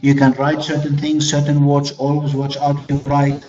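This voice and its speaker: A man's voice: a little high, completely flat delivery, normal speed, darkish tone, dull audio. Man, native Arabic speaker, lecturing. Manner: earnest, repeats phrases, asks rhetorical questions. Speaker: corporate trainer. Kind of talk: webinar lecture.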